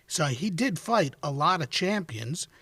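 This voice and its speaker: Higher-pitched male podcast host. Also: big pitch swings, a little slow, fairly bright tone, husky, no room sound. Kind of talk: sports history interview.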